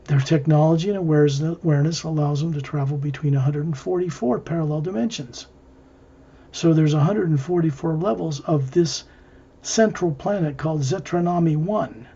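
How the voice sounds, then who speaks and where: A man leading an improvised guided visualization, narrating in measured, halting short phrases. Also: fairly high pitch, warm tone, slightly rough, lo-fi sound. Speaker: guided meditation leader.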